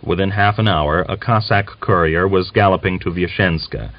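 A man's voice: sits low, moderate pace, dry recording. A man giving a scripted literary narration as an audiobook reader. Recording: dull lo-fi audiobook recording.

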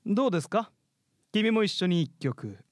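A man's voice: high-pitched, big pitch swings, moderate pace, darkish tone, no echo, clean studio sound. A man playing a cocky, carefree, teasing katydid in a theatrical radio drama style. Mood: smug, teasing. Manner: theatrical, mock-polite turning taunting. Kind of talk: live radio drama acting. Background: music.

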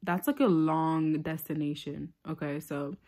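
A woman's voice: deep voice, fairly even intonation, measured pace, warm tone. A young woman born in Tanzania telling a casual storytime into her phone, rambling with lots of filler words. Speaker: vlogger. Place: small room indoors. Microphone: phone held close.

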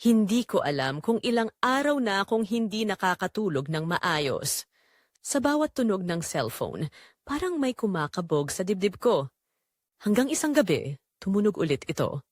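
A woman's voice: medium pitch, sing-song, normal speed, no echo, clean studio sound. A woman narrating a scripted audio drama in the first person, with a suspenseful, emotive delivery.

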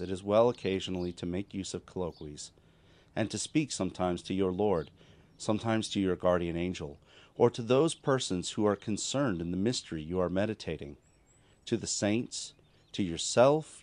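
A man narrating a scripted devotional text of spiritual counsel in a measured, formal, instructive manner. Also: sits low, darkish tone.

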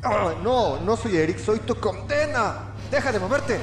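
A young man playing a cocky, wry corporate employee in a Latin American Spanish video game fan dub, joking and expressive. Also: sits high, measured pace, noisy background. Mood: menacing, strained. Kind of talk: amateur fandub of a video game. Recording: echoey room, music over the voice.

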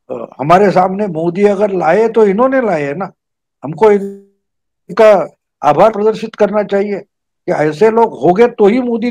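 A man's voice: high-pitched, darkish tone. An older man, a political commentator on a video call from a home study, arguing emphatically and repeating key phrases. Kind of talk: video call interview, explanatory argument.

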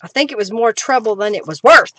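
A woman's voice: on the high side, animated, brisk, sharp tone, slight background noise. A middle-aged woman from Oklahoma, chatting casually and giggling as she hosts a live crafting stream at her desk. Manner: chatty, giggly, thanks viewers, hums while working. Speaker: crafting livestream host.

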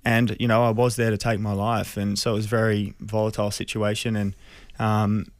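An Australian man in his thirties telling a personal story in an interview, earnest, hesitant and measured, close on a studio mic. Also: on the low side, little pitch movement, no room sound. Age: 30s. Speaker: radio interview guest. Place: small radio studio. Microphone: close studio microphone.

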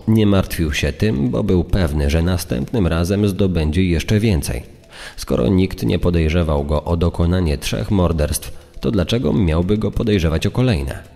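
A man's voice: low-pitched, creaky, warm tone. A man acting as secondary narrator, giving scripted documentary commentary on crime. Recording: long reverberant hall effect.

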